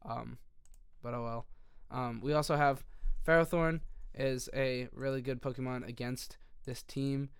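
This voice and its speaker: A young man with a hoarse voice that keeps giving out, casually walking through a game strategy in a rambling, self-correcting way. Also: medium pitch, steady tone, unhurried, no echo, studio quality. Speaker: video creator.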